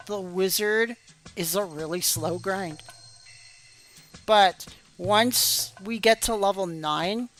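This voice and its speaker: A woman streaming a game tutorial in casual chat, her voice going hoarse after hours of talk, rambling and apologetic with many fillers. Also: mid-range voice. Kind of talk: casual live-stream commentary.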